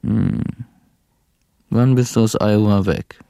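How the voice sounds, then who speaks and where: An actor playing a rough, lustful drifter and secret lover in a naturalistic radio drama, terse and muttering, with a gravelly, husky voice. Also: male voice, lowish voice, steady tone, slow, dark tone, no room sound, studio quality. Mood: relaxed, curious.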